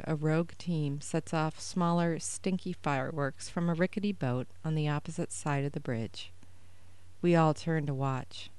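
A woman reading her own first-person short story aloud in a measured, even way. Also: sits low, dry recording.

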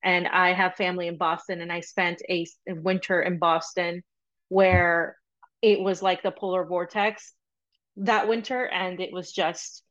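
A woman's voice: lowish voice, flat delivery, a bit bright, muffled recording. A young woman from Peru who grew up in Florida, a podcast guest talking casually and rambling with many ums. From Peru, raised in Florida. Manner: chatty and rambling, with many ums and quick asides. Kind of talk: casual interview talk.

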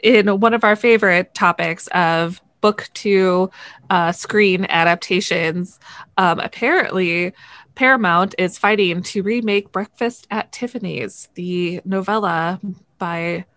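A woman's voice: lowish voice, takes it slowly, a bit bright, no room sound. A woman co-hosting a podcast in an informal, chatty style. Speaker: podcast co-host. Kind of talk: casual chat.

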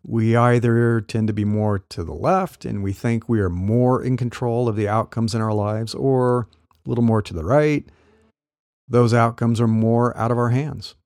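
A man who works as a career coach, talking through ideas in a relaxed, explanatory way with small laughs, his voice a little worn as he says he is losing it. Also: mid-range voice, normal speed, dry recording, studio quality. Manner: conversational, explanatory, light laughs. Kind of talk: solo podcast talk.

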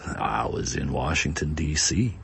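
A man acts out a self-righteous woman politician under questioning in a dramatic reading. The voice is deep and very husky, evasive and sanctimonious. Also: a little slow, a bit bright, heavily acted.

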